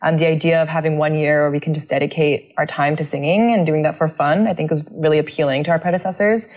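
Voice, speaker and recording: A young woman talks as an interview guest in an unusually low voice. She explains earnestly and smoothly, with occasional fillers. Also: fairly even intonation, quick pace, a little mellow. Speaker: interview guest, a cappella singer. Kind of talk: casual interview talk.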